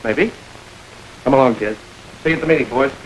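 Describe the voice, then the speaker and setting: An actor playing a smooth, calculating gang boss in a 1930s crime film, calm and commanding. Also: male voice, medium pitch, a little fast, a little hiss or hum, natural voice, poor audio. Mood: calm authority. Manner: cool, controlled, quietly commanding. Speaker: gang chief.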